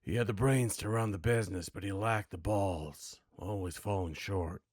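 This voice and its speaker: Low-voiced man, dry and musing. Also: normal speed, a little mellow, no echo. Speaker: video creator practicing a character voice. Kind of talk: noir narration practice mixed with improvised game commentary.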